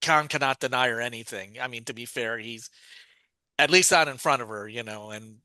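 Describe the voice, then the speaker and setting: A man's voice: mid-range voice, moderate pace, bright, forceful tone, no echo. A man co-hosting a podcast, recapping a story in a rambling, casual way with many restarts and fillers. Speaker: podcast co-host.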